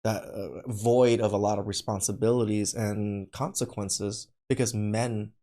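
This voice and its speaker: A man's voice: on the low side, steady tone, a little slow, dark tone. A man hosting a podcast, reacting in a casual, opinionated and conversational way. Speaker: podcast host reacting to a video.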